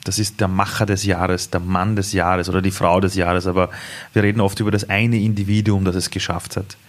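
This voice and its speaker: A man's voice: sits low, little pitch movement, a little fast. A man hosting an interview, engaged and often speaking directly to viewers and listeners. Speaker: interview host.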